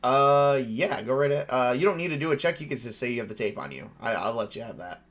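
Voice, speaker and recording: A man's voice: mid-range voice, expressive, quick pace, fairly bright tone. A man narrating a tabletop horror game as game master in a casual, improvised and informal way. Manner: informal, improvising with mild swearing.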